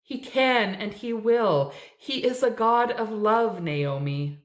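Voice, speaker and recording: A woman reading a story aloud, voicing an old male minister who speaks gently and soothingly. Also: fairly high pitch, slow. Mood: gentle. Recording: echoey room.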